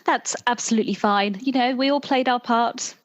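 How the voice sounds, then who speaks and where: A woman's voice: on the high side, moderate pace, lightly acted. A woman playing a polite, unruffled secret agent with an understated, dry manner in an improvised roleplay.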